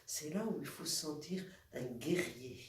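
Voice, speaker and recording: A woman with a cold, calmly leading a guided exercise as a wellness instructor and demonstrating movements step by step. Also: sits low, a little slow. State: has a cold. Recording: echoey room, voice from far off, faint noise.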